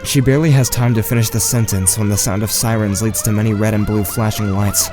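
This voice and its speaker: A man's voice: fairly low pitch, fairly even intonation, fairly quick, close to an everyday voice. A man playing an anxious, shy teenage boy who narrates his own story in an audio drama. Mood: grave. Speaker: narrator and lead actor.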